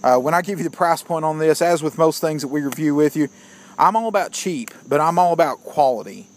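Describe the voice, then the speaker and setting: A man's voice: on the high side, a little fast. Plainspoken man reviewing gear. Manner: plainspoken, opinionated, keeps addressing viewers as guys. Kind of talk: casual product review vlog.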